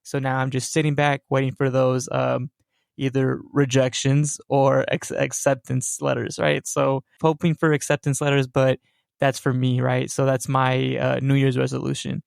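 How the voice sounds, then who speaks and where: A man's voice: mid-range voice, monotone, normal speed, gravelly, clear, resonant tone, no room sound, studio quality. A young man hosting a casual supernatural podcast, rambling with fillers and tag questions like "right?". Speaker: podcast host.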